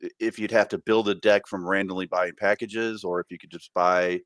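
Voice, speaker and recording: A man's voice: sits low, fairly even intonation, brisk, a bit bright, no echo, clean studio sound. A man co-hosting a podcast in casual chat, hesitant and often repeating words as he thinks aloud. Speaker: podcast co-host.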